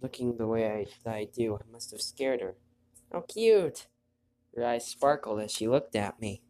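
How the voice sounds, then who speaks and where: A man with a stuffy nose hosting a gameplay video, chatting casually and reading the on-screen story text aloud in a quiet, low voice. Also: animated, moderate pace. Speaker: let's play host.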